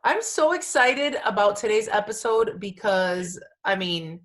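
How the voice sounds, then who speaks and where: A woman's voice: mid-range voice, lively intonation, unhurried, a bit bright. A woman hosting a casual pop culture podcast, gushing and emphatic, with informal slang and phrases repeated for emphasis. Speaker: podcast host.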